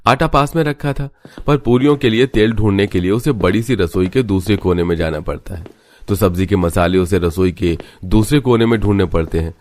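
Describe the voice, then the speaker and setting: Guy with a low voice narrating a scripted story. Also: fairly quick, darkish tone, no echo.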